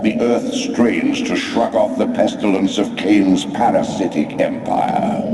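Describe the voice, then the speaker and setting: An actor playing an ancient godlike spirit in a video game, solemn, commanding and oracular, with theatrical delivery. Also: male voice, low voice. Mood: grave, foreboding. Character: ancient, ominous godlike spirit guiding an undead protégé. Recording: cavernous reverb, noisy game audio.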